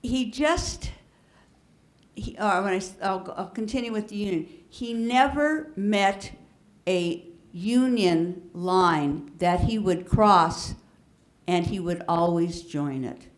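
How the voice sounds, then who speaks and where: A woman panelist recalling someone she admired, speaking earnestly and haltingly. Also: medium pitch. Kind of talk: personal recollection on a panel.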